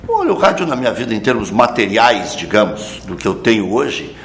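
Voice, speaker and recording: Low-voiced man reflecting in an interview. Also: big pitch swings, fairly bright tone, reverberant, a little hiss or hum. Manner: measured, with frequent "né" asides. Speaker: radio journalist interviewee.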